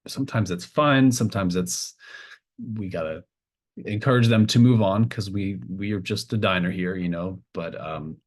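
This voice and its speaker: A man's voice: sits low, normal speed. A man playing a stammering, apologetic small-town diner cook in an improvised tabletop roleplay. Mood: rambling, folksy. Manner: stammering, hesitant, rambling.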